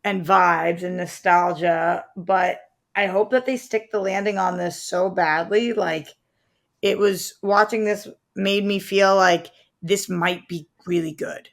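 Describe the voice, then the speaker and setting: A woman's voice: lowish voice, steady tone, slow pace, creaky. A woman co-hosting a casual pop culture chat, speaking in a colloquial, slangy, conversational way. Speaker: podcast co-host.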